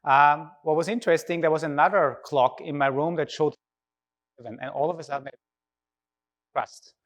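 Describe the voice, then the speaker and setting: A man's voice: on the high side, steady tone, normal speed. Austrian-accented man giving a conference talk. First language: German. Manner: informal, engages audience with questions. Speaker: conference speaker.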